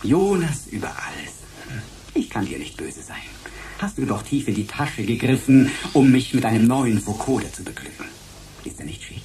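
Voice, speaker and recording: A man's voice: mid-range voice, very animated, moderate pace, creaky, mellow, a little hiss or hum, low-quality recording. A man voicing a cheeky, crazy computer sidekick in a German radio play, declaiming in a theatrical, sing-song way. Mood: effusive, theatrical. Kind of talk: German sci-fi radio comedy acting. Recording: music behind the voice.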